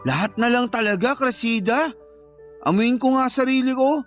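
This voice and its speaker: A Filipino actor playing a slow-witted, jealous working-class boyfriend in an audio drama, whiny, plain-spoken and accusing. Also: male voice, very high voice, a bit bright, soft background music. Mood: defensive. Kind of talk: Filipino radio-style drama acting.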